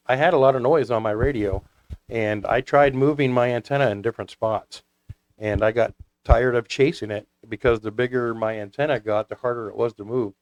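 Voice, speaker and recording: A man's voice: medium pitch, a little mellow, low-quality recording. A man talking casually as a guest about his hobby, in a folksy, rambling storytelling way. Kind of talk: casual hobby talk with anecdotes.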